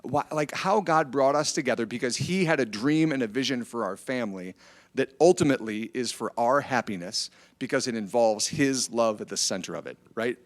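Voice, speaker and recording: A middle-aged man with an American accent speaks to a group in an informal, motivational way. His voice sits at an average pitch. He tells stories conversationally and keeps inviting agreement with short tag questions. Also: lively intonation, normal speed. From Iowa, United States. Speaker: speaker at a church family event. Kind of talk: informal motivational talk with storytelling. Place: church, speaking to a gathered group.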